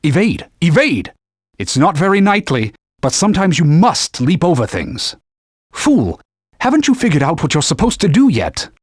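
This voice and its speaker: An imperious sacred sword mentor in a game, slightly high, husky, dry close sound. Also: male voice, huge pitch swings, moderate pace, dry recording. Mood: scolding. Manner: imperious, scolding, archaic knightly phrasing. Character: haughty male talking sacred sword, stern mentor. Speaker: game character. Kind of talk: video game voice lines.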